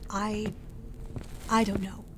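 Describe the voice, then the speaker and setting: Woman voicing wary young explorer, nervous wry manner, slow speech, light hiss. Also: medium pitch, little pitch movement, a bit bright. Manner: nervous, wry, self-talking. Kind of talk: audio drama acting.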